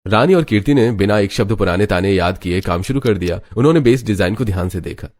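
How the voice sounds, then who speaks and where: Male narrator for animated family melodrama, scripted storytelling, lowish pitch. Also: quick pace.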